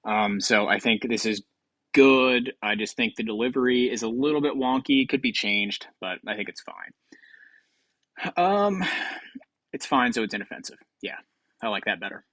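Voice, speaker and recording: A man's voice: mid-range voice, measured pace, fairly bright tone, no room sound. A man giving casual, rambling commentary as a video host, hesitant and self-deprecating, close to the mic. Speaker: video host ranking game voice lines.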